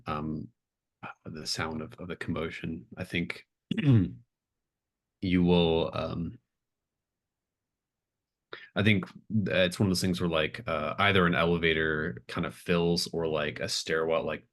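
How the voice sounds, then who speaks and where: Low-voiced man running a tabletop game. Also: a bit bright. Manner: halting, tentative, thinking aloud. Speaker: game master. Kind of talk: improvised tabletop game narration, casual.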